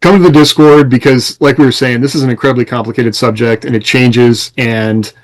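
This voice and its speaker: A man's voice: medium pitch, steady tone, a little fast, rough recording. A man co-hosting a casual podcast, talking in an explanatory, conversational way. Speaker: podcast co-host and author.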